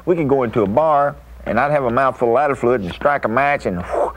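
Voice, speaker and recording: Guy rattling off casual stories, quick and offhand, regular pitch. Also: poor audio. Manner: offhand, colloquial. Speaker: former football player. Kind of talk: casual interview storytelling.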